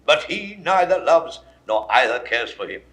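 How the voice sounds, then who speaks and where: An actor playing a proud, confident, scornful Roman rebel leader in a 1960s Shakespeare audio drama, with emphatic oratorical delivery. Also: male voice, mid-range voice, big pitch swings, normal speed, a bit bright. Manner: oratorical, emphatic declaimed verse.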